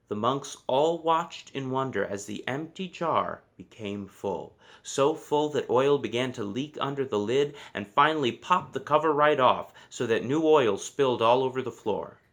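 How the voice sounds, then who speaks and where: A man narrating a children's story from a book, with expressive, measured phrasing and deliberate emphasis. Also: medium pitch, vocal fry. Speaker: storybook reader.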